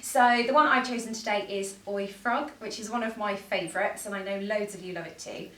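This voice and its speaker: A woman's voice: medium pitch, brisk, pushed and bright, echoey room, far from the mic, a little hiss or hum. A woman teacher speaking expressively to young children as she introduces and reads a story. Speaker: primary school teacher. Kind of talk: children's story read-aloud and greeting.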